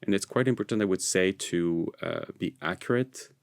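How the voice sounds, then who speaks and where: A man's voice: sits low, moderate pace, vocal fry, no echo, studio quality. A man who speaks English as a non-native with an American leaning, narrating a screencast tutorial in an informal, explanatory way. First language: not English. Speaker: software tutorial presenter.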